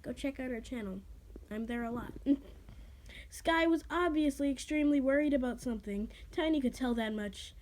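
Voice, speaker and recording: A woman's voice: sits high, normal speed, a little mellow. A woman reading her own story dramatically, stumbling over words and adding casual, self-deprecating asides. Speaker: amateur reader of own creative writing.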